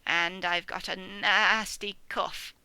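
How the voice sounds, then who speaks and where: A mournful old ewe from a storybook, grumbling along in a slow drawl, as a woman reads her part. The voice sits low for the reader and drifts into soft, breathy murmurs. It is recorded clean and dry, with a smooth, clear tone. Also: fairly even intonation, no echo, full character voice. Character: old ailing female ewe, mournful and gossipy. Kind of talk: storybook reading.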